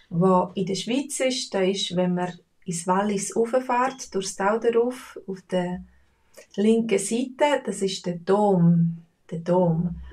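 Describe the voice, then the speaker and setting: A woman's voice: mid-range voice, recorded from a distance. Chatty Swiss German woman teacher. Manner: relaxed, chatty, with many fillers. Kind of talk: casual spoken lesson.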